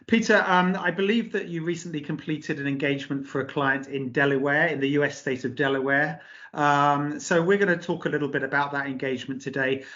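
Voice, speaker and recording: A man's voice: on the high side, fairly quick, fairly bright tone, highs cut off. A man hosting a business interview, asking questions in a polite, formal way. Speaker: interview host, company director.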